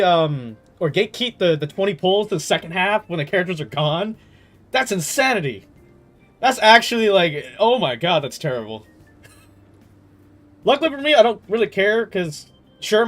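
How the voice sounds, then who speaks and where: A man giving casual gaming commentary as a streamer, talking in an animated, slangy, exasperated way. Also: high voice. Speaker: game streamer.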